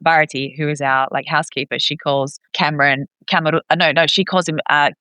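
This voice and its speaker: Female podcast guest, chatty and expressive, bright forward voice, dry close recording. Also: low voice, a little fast, smooth, dry recording. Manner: chatty, expressive, acts out reported dialogue. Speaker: podcast guest, expat parent. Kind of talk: casual conversational interview.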